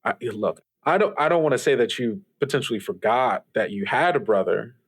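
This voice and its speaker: A man acts out an easygoing host of a late call-in radio show. He speaks conversationally at a middle pitch, hesitating as he searches for words. He is supportive and reassuring with his callers. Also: expressive, a little fast, breathy edge. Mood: gentle, reassuring. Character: easygoing, supportive male radio DJ in a strange world. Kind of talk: improvised radio show acting.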